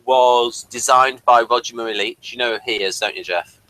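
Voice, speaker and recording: Bright-voiced man, halting and rambling. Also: mid-range voice, low-quality recording. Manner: halting, rambling, repeats and restarts phrases. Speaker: podcast co-host. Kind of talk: casual fan discussion with behind-the-scenes trivia.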